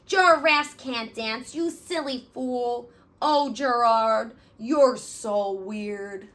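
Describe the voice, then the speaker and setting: A woman's voice: fairly high pitch, extremely slow, fairly bright tone, pitched below the performer's own voice. A woman voicing mocking, sneering jungle animals in a children's storybook. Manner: sneering, taunting.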